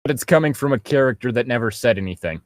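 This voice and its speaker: A man co-hosting a comedy reading with sarcastic, casual riffs. His mid-pitched voice moves around a lot in mock exasperation, recorded dry. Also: expressive, fast, no room sound. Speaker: co-host commenting on a reading. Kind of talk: casual comic riffing.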